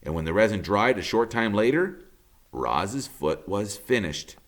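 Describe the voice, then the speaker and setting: Animated man reading a children's story. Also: fairly low pitch, measured pace. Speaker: teacher reading aloud. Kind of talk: expressive children's storybook narration.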